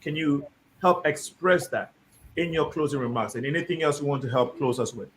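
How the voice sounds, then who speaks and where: A man hosting a live discussion show, reading out viewer comments and guiding his guests in a measured, deliberate way. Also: a little high, a little hiss or hum. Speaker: host.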